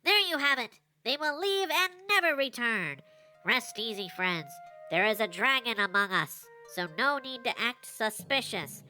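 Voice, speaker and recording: A woman's voice: a little high, lively intonation, measured pace, pushed and bright, soft background music, heavily acted. A woman voicing a small male goblin watchman in an audio roleplay, proud, fretful and given to formal proclamations. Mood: earnest, formal.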